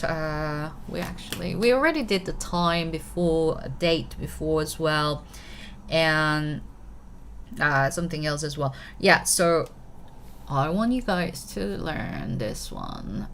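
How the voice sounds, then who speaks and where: A woman's voice: low voice, a little hiss or hum. A woman from Korea with a Korean accent, teaching a live online language lesson in a chatty manner, often checking in with her students. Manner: switches between English and Korean.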